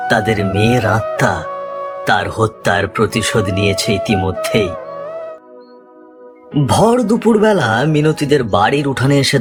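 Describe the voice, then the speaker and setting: A man narrating a scripted ghost story in a dramatic storytelling style. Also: fairly low pitch. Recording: music behind the voice. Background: music.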